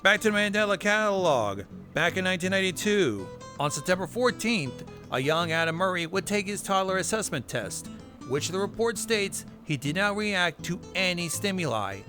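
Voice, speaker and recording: A man's voice: high-pitched, big pitch swings, moderate pace. A man hosting a narrated recap, with wry asides and casual swearing. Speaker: video host. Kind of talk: narrated recap of horror web series. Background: music bed.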